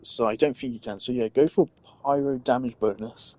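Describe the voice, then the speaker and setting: A man's voice: mid-range voice, fairly quick, no room sound. A man giving casual, rambling gaming commentary, thinking aloud as a streamer. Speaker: game streamer.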